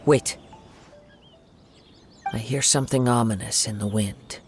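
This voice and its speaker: An actor voicing a calm, thoughtful young wandering swordsman in a video game, speaking in measured, formal phrases. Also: lowish voice, lightly acted. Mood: grave, watchful. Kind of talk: video game voice acting, scripted dialogue. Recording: game music behind the voice.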